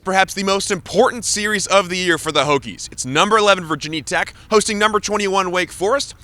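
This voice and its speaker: Brief: young male play-by-play voice, high and bright, energetic. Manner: energetic broadcast announcing. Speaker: play-by-play broadcaster. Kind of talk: broadcast play-by-play and on-camera hits.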